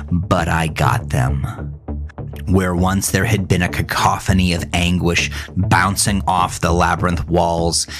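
Deep guy voice doing horror podcast narration, deliberate n dramatic, music playing under it. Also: gravelly. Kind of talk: scripted first-person horror narration.

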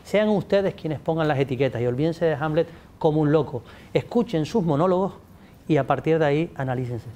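A man's voice: a little high, brisk, mellow. A man in his forties, a native English speaker, answering interview questions about literature in a studio, with lots of restarts and gestures. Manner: gestures a lot, many restarts, addresses audience. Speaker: guest speaker, literature scholar. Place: studio set with pink backdrop. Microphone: table mic.

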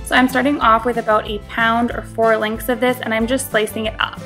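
A woman's voice: on the high side, flat delivery, brisk, a bit bright, soft background music. A young woman giving a friendly, instructive voice-over for a home cooking tutorial. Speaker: cooking vlogger.

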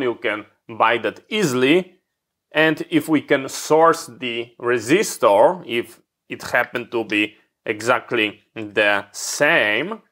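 A man talks through an electronics repair at his workbench, pausing between phrases as he points things out. His voice sits at an ordinary middle pitch and his pace is unhurried. His phrasing is slightly non-native, patient and explanatory. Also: a bit bright. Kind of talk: hands-on repair tutorial.

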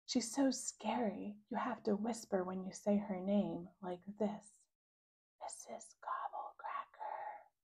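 A woman's voice: fairly low pitch, lively intonation, takes it slowly, hardly any pauses, mellow. Woman playing a young brother, fed up, exasperated, spooky whispers, storybook character.

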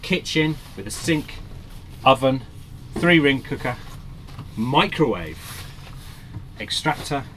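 Cheerful presenter, forties, chatty walkaround on a breezy coast, mid-pitched voice, noisy outdoor audio. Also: male voice, lively intonation, takes it slowly, pauses often, fairly bright tone. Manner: chatty, enthusiastic, pointing things out as he walks. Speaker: presenter reviewing a camper van. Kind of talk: upbeat walkaround review. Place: outdoors by a van on a windy coast, and inside the van.

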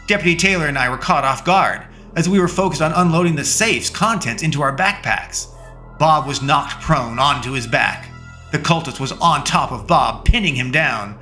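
A man narrating a first-person action story in a measured, dramatic reading. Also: medium pitch, sharp tone.

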